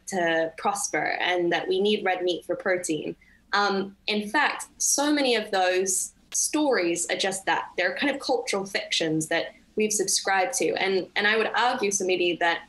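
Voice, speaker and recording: A woman's voice: mid-range voice, a bit bright, low-quality recording. A young woman who grew up in Indonesia, speaking as a panelist on a video call in a thoughtful, articulate way with frequent ums. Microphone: laptop webcam on video call.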